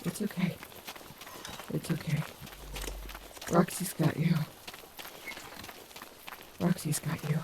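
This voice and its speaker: Slow-spoken, protective female wolf animatronic, acted by a woman. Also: low voice, flat delivery, heavy background noise. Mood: alarmed. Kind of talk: audio roleplay acting.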